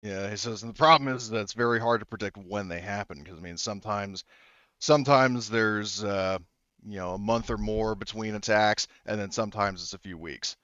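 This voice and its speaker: A man working as game master, narrating a tabletop game off the cuff in a halting, rambling, self-correcting way. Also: fairly low pitch, bright, forceful tone, clean tone, no room sound, muffled recording.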